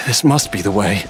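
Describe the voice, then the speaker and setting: Troubled game youth, slow, hesitant, lively pitch. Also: male voice. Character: troubled young man, uneasy and haunted. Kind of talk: video game voice acting.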